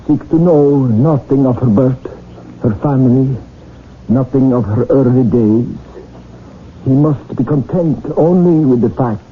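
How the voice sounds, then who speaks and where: An actor playing a stern, calculating older guardian, a professor, in a radio drama, with formal and deliberate delivery. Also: male voice, mid-range voice, close to an everyday voice. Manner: formal, deliberate, measured with pauses. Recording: muffled, noisy vintage radio recording.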